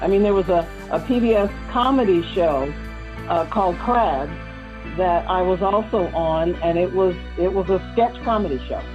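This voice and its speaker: A woman's voice: on the low side, normal speed, muffled recording, music in the background. A woman in a casual interview, reminiscing about her television work and chuckling at times. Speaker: interviewed former TV co-host and actor.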